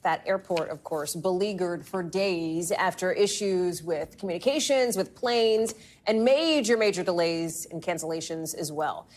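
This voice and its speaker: An American woman anchoring live news in a conversational broadcast style. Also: mid-range voice.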